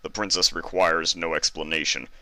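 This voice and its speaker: A stern stallion guard from a cartoon fan story, acted by a man. The voice is deep and forceful, dry and commanding, with a threatening edge. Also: flat delivery, moderate pace, no echo, exaggerated voice. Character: stern, threatening male royal guard pony.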